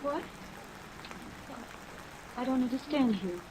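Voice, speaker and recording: An actress playing a cool, guarded society wife in a vintage radio drama. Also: female voice, high voice, lively intonation, slow pace, warm tone. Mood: bewildered. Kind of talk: 1940s-50s radio drama acting. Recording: muffled, noisy vintage radio recording.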